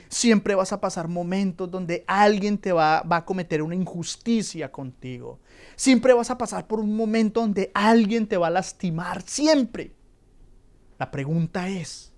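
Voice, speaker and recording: A male pastor delivering an earnest, emphatic sermon and leading a quiet prayer. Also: fairly high pitch.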